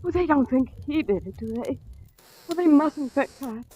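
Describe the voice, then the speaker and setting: An actress playing an anxious, earnest young wife in a 1940s radio drama. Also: female voice, high voice, expressive, normal speed, mellow. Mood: worried, pleading. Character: anxious young wife protective of her husband, a judge's daughter. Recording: hissy old 1940s radio recording.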